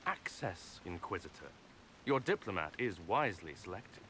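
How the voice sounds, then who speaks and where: Over clear background noise, a smug courtly nobleman from a fantasy game. His voice sits low and moves through wide, animated turns of pitch. He phrases things formally, with silky condescension. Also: moderate pace, heavily acted. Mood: smug, insinuating. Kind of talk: video game cutscene acting. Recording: clearly noisy background with clicks.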